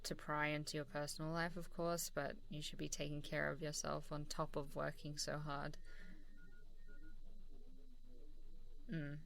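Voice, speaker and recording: A woman playing a warm, supportive, easygoing boss in a casual, encouraging ASMR role-play. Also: low-pitched, completely flat delivery, moderate pace, vocal fry, a little hiss or hum, rough recording. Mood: caring, encouraging. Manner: encouraging, casual, chuckling hesitantly between phrases. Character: supportive, warm, easygoing female boss giving a praising review. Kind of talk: ASMR role-play, softly conversational one-sided dialogue.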